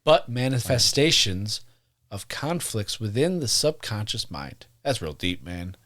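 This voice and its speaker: A man with a lively, swinging pitch reads a strange tale for a podcast. He curses casually, jokes as he goes, and corrects his own reading along the way. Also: measured pace. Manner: profane, self-correcting, jokey. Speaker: podcast co-host.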